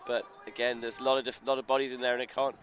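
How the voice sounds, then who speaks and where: A man's voice: medium pitch, little pitch movement, rapid-fire, a bit bright. A man giving live play-by-play commentary on a frisbee match, following the action in flowing present-tense calls that rise with the play. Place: outdoors beside a sports field. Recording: outdoor crowd and traffic noise. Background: crowd and outdoor ambience.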